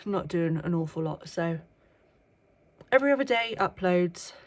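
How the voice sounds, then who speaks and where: A young woman vlogging to camera in a casual chat at her workplace early in the morning, with a bunged-up voice from a cold and lots of ums, sometimes dropping to a hush. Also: lowish voice, lively intonation, normal speed. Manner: chatty, with frequent ums, sometimes hushed.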